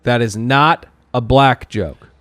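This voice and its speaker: A man's voice: mid-range voice, slow pace, fairly bright tone, smooth, no echo. A man hosting a casual comedic TV recap podcast, wry and jokey with sardonic asides. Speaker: podcast host.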